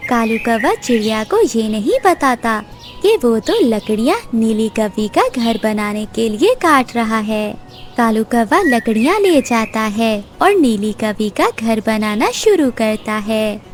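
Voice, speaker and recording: A woman narrates a children's story from a script. Her voice is high and lilting, taken at a slow pace. Music plays underneath. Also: expressive.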